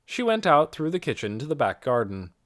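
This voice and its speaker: A man's voice: on the high side, big pitch swings, brisk, fairly bright tone. A man narrating a children's novel as an audiobook, reading from a script.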